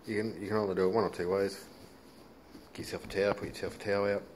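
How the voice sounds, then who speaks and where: Low-voiced Australian man, noisy recording. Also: little pitch movement, very fast. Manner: laid-back, colloquial, mild swearing. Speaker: hobbyist demonstrator. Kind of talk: casual hands-on how-to commentary. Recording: noisy handheld home video.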